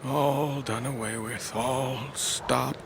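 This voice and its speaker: An actor playing a lonely, weary old man in a radio play, brooding and agitated in a halting monologue. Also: mid-range voice, steady tone, creaky, a bit bright, a little hiss or hum. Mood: weary, desperate. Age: older. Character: lonely, weary, haunted old man, last one left. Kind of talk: dramatic radio play acting.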